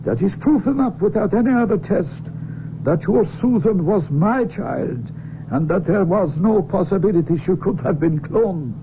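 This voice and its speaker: Self-sacrificing doctor, radio drama. Deliberate, emotional, widely swooping delivery, high male register. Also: animated. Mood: solemn, proving. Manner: deliberate, emotional, pious, courtly. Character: earnest, self-sacrificing foreign doctor, a secret father. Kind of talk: 1970s radio drama acting. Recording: muffled old radio broadcast, rumbling noise.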